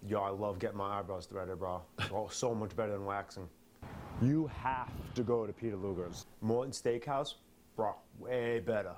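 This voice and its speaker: A man's voice: on the low side, moderate pace, lightly acted. A man playing a brash young Long Island bro in a comedy sketch, slangy and boastful, with faint noise behind. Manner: loud slangy bro talk.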